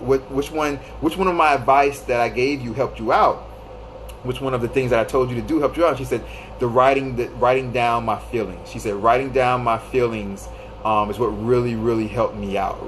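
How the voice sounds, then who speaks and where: A man's voice: medium pitch, a little hiss or hum, low-quality recording. A man in his thirties hosting a live stream, giving loose, repetitive advice and greeting viewers by name. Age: 30s. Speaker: livestream host.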